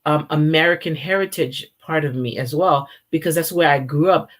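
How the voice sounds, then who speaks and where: A woman's voice: low-pitched, normal speed. A woman in her forties, born in Haiti and raised in America, giving an earnest, reflective faith talk with frequent ums and restarts. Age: 40s. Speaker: speaker opening a teaching series. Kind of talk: informal sermon-style teaching.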